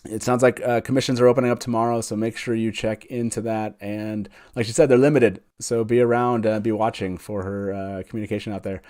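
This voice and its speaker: A man's voice: on the low side, level tone, brisk, hardly any pauses, darkish tone. A man hosting a casual livestream, speaking in an enthusiastic, chatty way. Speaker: show host.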